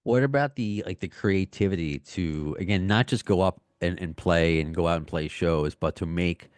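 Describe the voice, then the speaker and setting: A man's voice: low voice, normal speed, clean tone, dry recording, studio quality. A man hosting a podcast, asking long rambling questions with many fillers and restarts. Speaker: podcast host. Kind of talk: interview questions.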